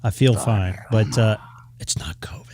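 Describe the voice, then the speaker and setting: A man with a dry, worn throat talks into a close, dead microphone with no room sound at all. His chat is loose and easygoing as he hosts his live stream, even while his voice is giving out. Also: on the low side, moderate pace, no room sound.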